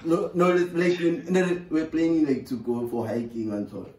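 A South African man in his twenties making a casual, slangy prank phone call, animated, exasperated and pleading, with swearing. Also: fairly high pitch, normal speed. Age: 20s. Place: small bare bedroom indoors. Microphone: camera a short distance away. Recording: roomy echo, distant from mic.

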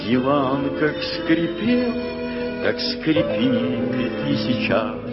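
Guy singing a very slow ballad over loud music, lo-fi. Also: medium pitch, very animated. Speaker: singer. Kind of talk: sung ballad. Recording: dull lo-fi tape with loud music.

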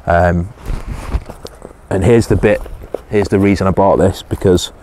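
A man's voice: low voice, steady tone, measured pace, dark tone, slight background noise. A man, casually reviewing survival gear outdoors on a hillside, hesitant with many ums and restarts.

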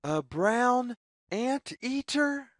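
A woman reading a children's picture book aloud as storyteller, with deliberate, sing-song phrasing. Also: on the high side, expressive, no room sound, studio quality.

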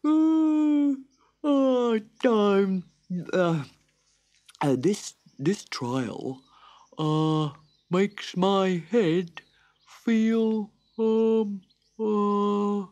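A woman's voice: mid-range voice, drawn-out words, dark tone, voice pushed up. A woman voicing a sleepy male koala who stumbles over his words with many ums and uhs. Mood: drowsy.

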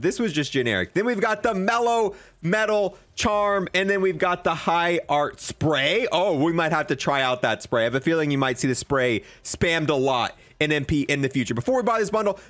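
A man reviews video game items in a fairly high voice with swooping, animated pitch. He talks as an enthusiastic host, tossing in casual slang and bro-style remarks. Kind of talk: excited gaming commentary.

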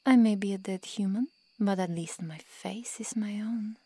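A woman playing a stern, world-weary vampire in an ASMR roleplay drama, husky, with measured, formal, solemn diction. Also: medium pitch, dark tone, no room sound, lightly acted. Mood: hostile.

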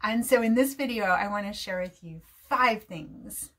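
A woman's voice: mid-range voice, moderate pace, a bit bright. A middle-aged woman from New Jersey with an American accent, an English teacher talking cheerfully and expressively to the camera from a room at home. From New Jersey, USA.

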